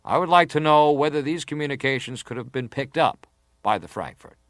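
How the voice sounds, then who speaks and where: Formal senator played by an actor, probing. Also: male voice, mid-range voice, expressive, a little fast, no room sound, clean studio sound, natural voice. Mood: insistent, stern. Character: persistent, formal senator questioning a witness at an inquiry. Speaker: interrogator at a hearing. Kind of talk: dramatised reading of hearing testimony.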